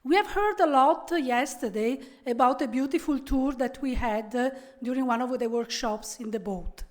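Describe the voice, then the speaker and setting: A middle-aged woman from Italy with an Italian accent, giving a conference keynote in a friendly, conversational way with frequent pauses and fillers. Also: high-pitched. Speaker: keynote speaker.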